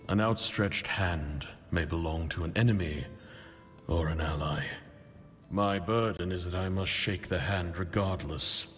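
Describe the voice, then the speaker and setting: An actor playing a burdened, diplomatic male leader in a fantasy game, speaking in a measured, formal and solemn way. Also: sits low, a bit bright, big-room sound, faint music behind. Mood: measured, grave. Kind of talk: fantasy video game dialogue.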